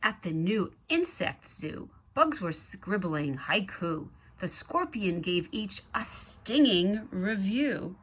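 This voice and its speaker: Female picture-book reader, unhurried pace, mid-range pitch, addresses the kids directly between lines of the story. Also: sing-song, a bit bright, a little hiss or hum. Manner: measured, addresses young listeners directly. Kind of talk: children's picture book read-aloud with asides to children.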